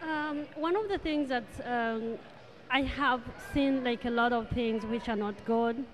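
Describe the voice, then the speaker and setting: A woman, a guest on a talk show, answering earnestly in a halting way with pauses. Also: on the high side. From Rwanda. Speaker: guest, charity president. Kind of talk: interview answers.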